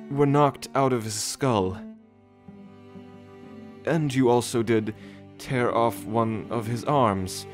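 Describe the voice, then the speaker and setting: A man acting in an ASMR audio roleplay as a doting royal caretaker, hesitant and stammering as he gently reassures. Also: medium pitch. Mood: hesitant, apologetic. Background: orchestral string music.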